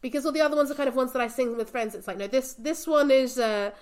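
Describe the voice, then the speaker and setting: A woman's voice: high-pitched, fast, clean tone. Chatty woman. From Portugal. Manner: chatty, self-interrupting, laughs often. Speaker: podcast guest. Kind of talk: casual conversation.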